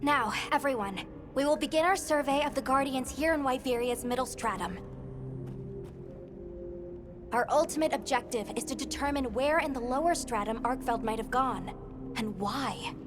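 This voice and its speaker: Game cutscene role: keen, composed woman researcher voiced by an actress, fairly high voice, measured and instructive, loud music underneath. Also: natural voice. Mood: briskly briefing. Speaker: expedition leader and monster researcher. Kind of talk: video game cutscene acting.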